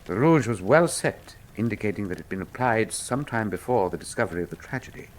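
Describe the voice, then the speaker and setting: An actor playing a brilliant, cool Victorian detective, speaking formally and with dry wit in a radio drama. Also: male voice, lowish voice, lively intonation, fast, close to an everyday voice, rough recording. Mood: dry, analytical. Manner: precise, formal, dryly witty. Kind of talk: full-cast radio drama acting.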